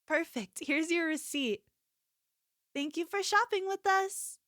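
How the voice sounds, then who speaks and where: A woman's voice: very high voice, slow, pushed and bright, no room sound, exaggerated voice. A woman playing an obsessive, possessive convenience store cashier in an ASMR roleplay, pleading and unsettlingly sweet. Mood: polite. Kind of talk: ASMR roleplay, scripted character acting.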